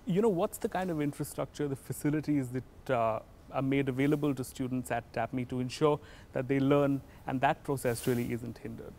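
A man's voice: medium pitch, a little fast, darkish tone, slight background noise. A man in his thirties hosting a TV interview outdoors, asking polite conversational questions. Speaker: tv host interviewer. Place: outdoors on campus stairs.

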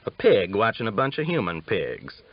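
A man's voice: on the low side, huge pitch swings, moderate pace, no room sound, full character voice. A man voicing a wry, talkative, teasing aging cowboy in an audiobook, with folksy, mock-scolding phrasing. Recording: dull lo-fi audiobook recording.

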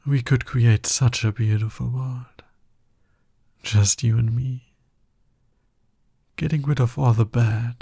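ASMR roleplay villain, played by a man: male, smug and teasing, breathy low-key delivery, very dry close sound. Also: warm tone, dry recording. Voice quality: husky, breathy. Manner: slow, condescending, teasing. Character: smug, seductive, dominant male villain courting his hero nemesis.

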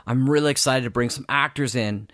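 A man's voice: mid-range voice, fairly quick, fairly bright tone, no room sound, studio quality. A man, co-hosting a radio drama podcast in casual chat.

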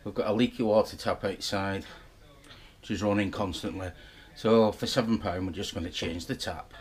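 A man's voice: on the low side, fairly even intonation, normal speed. A middle-aged man with a Scottish accent, from the north east of Scotland, chatting casually to a handheld camera about his day. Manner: chatty, rambling, self-filming. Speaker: vlogger. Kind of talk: casual vlog chat to camera. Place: home living room and outdoors, parked car. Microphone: handheld camera at arm's length.

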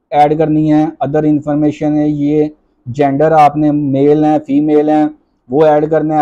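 Flat-voiced man guiding step by step. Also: on the high side, lo-fi sound. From Pakistan. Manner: step-by-step instructive, reads on-screen items slowly. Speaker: job-application tutorial host. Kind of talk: screen-recorded walkthrough. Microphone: voice-over over screen recording.

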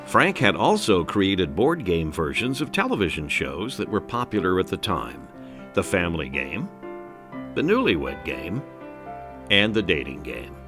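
A man's voice: sits low, big pitch swings, a little slow, music in the background. A man reading scripted narration as a documentary narrator.

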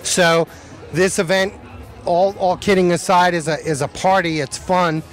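A man's voice: sits high, fairly even intonation, a little slow. Upbeat man thanking everyone outdoors. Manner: enthusiastic, repeats "awesome". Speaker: law firm partner speaking at a charity event. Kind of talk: casual thank-you speech. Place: outdoors at an event.

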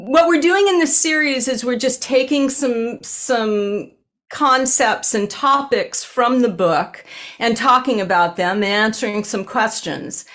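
A woman's voice: a little high, fairly bright tone. Chatty older woman on a video call. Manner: chatty, earnest, gestures with hands while talking. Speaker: animal communicator and podcast host. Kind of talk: casual video-call podcast chat. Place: home room with bookshelves. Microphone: webcam on a video call.

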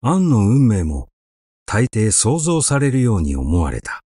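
A man reading literary fiction aloud as scripted narration, in a measured, dramatic storytelling manner. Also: lowish voice, huge pitch swings, mellow, slightly rough, no echo, studio quality. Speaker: audiobook reader. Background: music.

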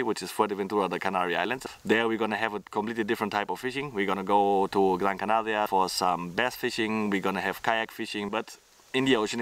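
A man's voice: lowish voice, a bit bright, no echo. Chatty man vlogging outdoors by a lake. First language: not English. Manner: chatty, loose non-native phrasing. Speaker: fishing vlogger. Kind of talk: casual vlog to camera. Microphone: camera held close.

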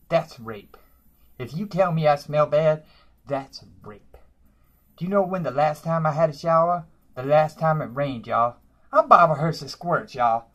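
A man's voice: on the high side, fairly bright tone. A man playing a boastful, broke woman who lives in a dumpster, tacking "y'all" onto nearly every phrase in a comedy monologue. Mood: indignant.

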